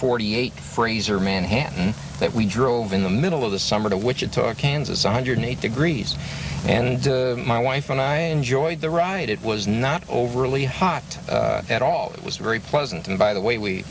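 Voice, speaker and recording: A man's voice: mid-range voice, moderate pace. An older man telling stories in a conversational interview, earnest and emphatic, repeating phrases to drive a point home. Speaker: interview guest, classic car owner and restorer. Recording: noisy location recording with vehicle ambience.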